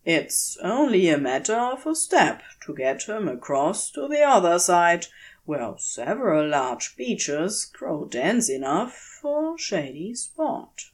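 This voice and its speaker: A woman narrates fiction like an audiobook reader. Her voice sits a little low and she takes her time, measured and deliberate. The melody of her speech moves widely, giving the prose an expressive storytelling shape.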